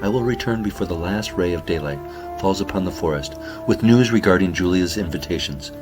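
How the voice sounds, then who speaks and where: Zen hawk , male actor, extremely low voice, calm, formal and solemn; kids' audio drama, music underneath. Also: lightly acted. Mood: serene, solemn. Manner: zen, measured, formal speech. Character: serene yoga-loving hawk, calm and formal.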